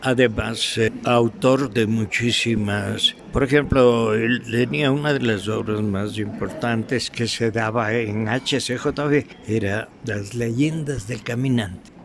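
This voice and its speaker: An older man with an Ecuadorian Spanish accent, reminiscing in an interview in a halting, deliberate way with pauses and repetitions. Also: medium pitch, fairly even intonation, gravelly. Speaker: interviewee, veteran radio drama actor.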